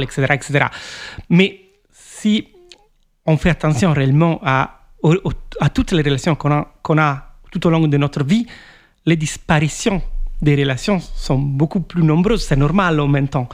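Thoughtful Italian-born man, fairly high voice. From Italy. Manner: thoughtful, many hesitations and restarts. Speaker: author. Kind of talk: reflective interview answers.

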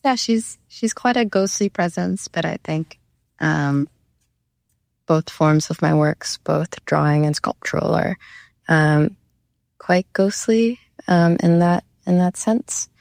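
Low, creaky Canadian woman, thinking aloud. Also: takes it slowly, darkish tone, no echo, clean studio sound. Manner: hesitant, thoughtful. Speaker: visual artist being interviewed. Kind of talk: reflective conversation about their own art.

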